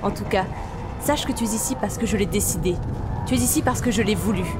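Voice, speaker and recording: A woman's voice: mid-range voice, quick pace, over loud music, natural voice. A woman playing Death as an imperious, impatient lady in an audio drama, haughty, mocking and theatrical.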